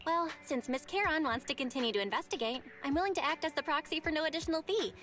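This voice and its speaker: A woman's voice: high voice, quick pace, fairly bright tone. An actress playing a friendly, perky young woman guide in a video game, teasing but earnest and caring. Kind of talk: scripted video game voice acting. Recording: game audio with music underneath. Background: background music.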